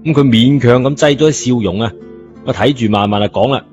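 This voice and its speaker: A man's voice: mid-range voice, quick pace, a little mellow. A man narrating a story in a colloquial scripted style, in a dull recording. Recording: dull lo-fi audio, music behind.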